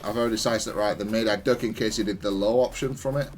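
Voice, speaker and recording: A man's voice: lowish voice, fairly even intonation, quick pace, faint music behind. A man giving off-the-cuff commentary on his own fighting game replays, explaining things in a hesitant, stop-start way. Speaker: gamer analysing own matches.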